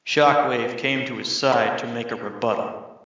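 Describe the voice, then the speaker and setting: Man narrating, very echoey and forceful. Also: mid-range voice, steady tone, measured pace. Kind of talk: scripted story narration. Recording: very echoey, slightly muffled.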